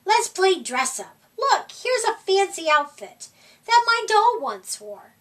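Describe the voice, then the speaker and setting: A woman's voice: extremely high pitch, big pitch swings, slow pace, fairly bright tone, pitched above the performer's own voice, full character voice. A woman putting on a bossy little girl cat. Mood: playful. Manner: chirpy, insistent storybook voicing. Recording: voice from far off the mic.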